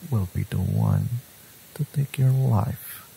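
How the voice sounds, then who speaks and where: Villain love interest for romance audio drama, male actor: gravelly, unhurried, possessive, intense. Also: mid-range voice, wildly swooping pitch, warm tone, a little hiss or hum, heavily acted, poor audio. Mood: ominous, possessive. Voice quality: husky, gravelly. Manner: slow, deliberate, intimate, intense. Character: brooding, devoted, possessive male villain lover.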